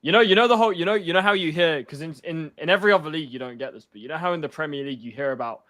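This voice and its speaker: A man's voice: on the high side, rapid-fire, sharp tone. A man on an online call giving strong football opinions and laughing easily. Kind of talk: casual chat.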